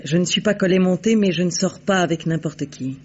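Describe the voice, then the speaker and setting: An actress playing a haughty, shrewd, mercenary society woman in a vintage French radio drama, with poised, disdainful, deliberate delivery. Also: on the low side, lightly acted. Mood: guarded, haughty. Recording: dull, noisy old radio recording.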